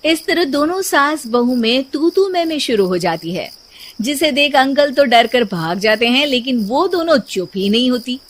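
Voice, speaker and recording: A woman reading scripted narration for an animated moral story. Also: sits high, normal speed.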